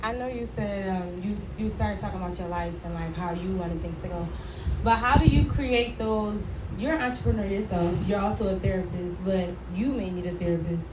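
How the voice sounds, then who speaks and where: Casting: American female co-host, casual interviewer, echoey sound with loud background noise. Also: medium pitch, moderate pace, distant mic. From Philadelphia, United States. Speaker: podcast co-host.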